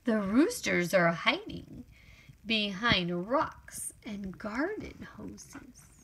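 A woman reading a children's picture book aloud in an expressive, exclamatory, sing-song storytelling manner for young children. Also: mid-range voice, very slow, a little hiss or hum, low-quality recording.

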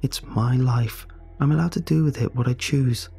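Guy putting on a teen schoolgirl voice, tearful and choked, weary and defiant, kinda husky. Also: medium pitch, unhurried, a little mellow, faint music behind, pitched above the performer's own voice. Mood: firm.

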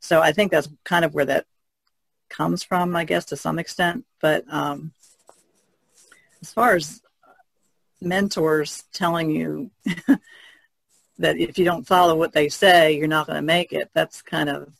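A woman's voice: deep voice, fairly even intonation, unhurried, creaky, pauses often, no room sound, low-quality recording. A woman speaking as a podcast co-host in a casual, conversational discussion.

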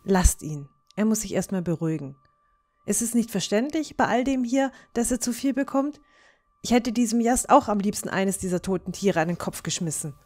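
A woman's voice: medium pitch, animated, normal speed, a little mellow, close to an everyday voice. A woman playing a young, protective dwarf woman, firm and soothing, in a fantasy audio drama. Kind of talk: fan-made fantasy audio drama acting.

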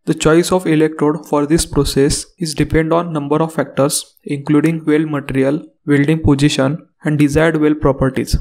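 Flat-voiced man reading a technical lesson. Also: fairly high pitch, normal speed, hardly any pauses. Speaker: instructor. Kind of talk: scripted technical explainer narration.

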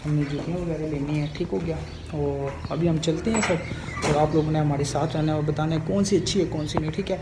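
Young man vlogging through loud street noise. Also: fairly high pitch, steady tone, fast talker. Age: young adult. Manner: chatty, repeats filler checks to viewers. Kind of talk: casual vlog walk-through. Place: walking through streets and a large bakery shop. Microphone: phone camera held in hand.

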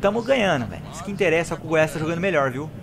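A young Brazilian man, hoarse from recording, giving excited, slangy commentary over his own football video game match and talking straight to his viewers. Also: a little high, racing, noisy background. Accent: Brazilian Portuguese. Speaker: gaming YouTuber. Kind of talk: live gameplay commentary.

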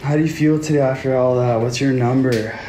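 A man's voice: mid-range voice, steady tone, brisk, dark tone, slight background noise, rough recording. A young man from Canada hosting a casual livestream, chatting with viewers into a phone held at arm's length in a small room. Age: young adult. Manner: chatty, self-talking, upbeat with viewers. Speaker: livestream host.

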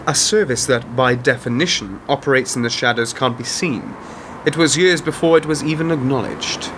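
A man playing a dry, measured, self-deprecating former intelligence worker turned author in a radio play. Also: mid-range voice, noisy background, close to an everyday voice. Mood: dry, amiable. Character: wry, world-weary male author and former intelligence worker. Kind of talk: radio drama dialogue.

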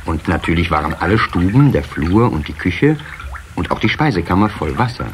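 A man narrating a children's fairy tale as a measured, expressive storyteller in an audio play. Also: low voice, noisy background. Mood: storytelling.

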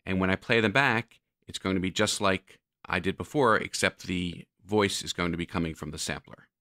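A man narrating a software tutorial, explaining step by step like an instructor. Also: low voice, normal speed, no room sound, clean studio sound.

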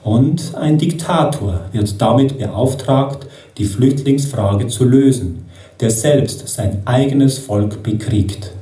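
A man narrating in a grave, measured, accusing tone, heard over speakers in a theatre hall and picked up by a camera in the audience. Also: mid-range voice, mellow. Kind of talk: solemn scripted narration over a projected film. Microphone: audience camera far from the stage. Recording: echoey hall from far off.

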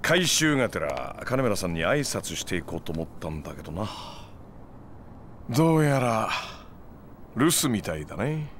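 Laid-back, shrewd man, lively intonation. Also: on the low side, normal speed, a little hiss or hum. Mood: casual probing. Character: laid-back, shrewd male money lender. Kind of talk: video game cutscene acting.

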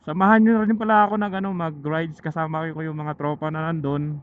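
A man with a Filipino accent chatting casually and haltingly to viewers while riding a motorcycle, speaking through a helmet intercom microphone. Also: a little high, fairly even intonation. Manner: chatty, halting, thinking aloud. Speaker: motorcycle vlogger. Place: outdoors riding a motorcycle on mountain roads. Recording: muffled phone-like helmet intercom, loud vehicle noise.

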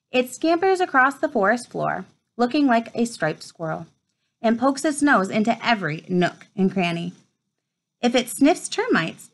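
A woman in her thirties with a fairly high voice reads a children's nature book aloud. Her phrasing is measured, as for storytime. She is indoors in a room at home, picked up by a device at about arm's length. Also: fairly bright tone. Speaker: read-aloud presenter.

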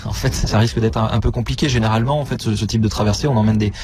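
A man's voice: fairly low pitch, fairly even intonation, quick pace, noisy background. A man in his twenties answering spontaneously as an interviewee, repeating words as he searches for phrasing. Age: 20s. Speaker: interviewee, anti-waste activist.

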